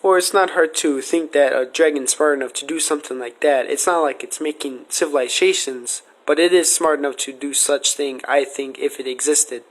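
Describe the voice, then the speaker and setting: A man with a slightly high-pitched voice hosts a casual podcast conversation. He talks through speculative theories in an earnest, explanatory way, as in an informal question-and-answer session. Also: moderate pace, hardly any pauses. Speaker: podcast host.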